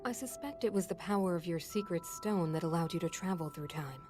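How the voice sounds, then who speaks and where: An actress playing a learned, scholarly non-human sage and older sister, explaining in a measured, thoughtful way. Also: female voice, on the low side, over music, close to an everyday voice. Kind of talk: game cutscene voice acting.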